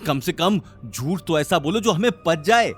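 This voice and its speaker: A man's voice: a little high, lively intonation, normal speed, husky, soft background music, pitched above the performer's own voice. A man playing a smug, mocking young relative in an audio drama. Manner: mocking, taunting.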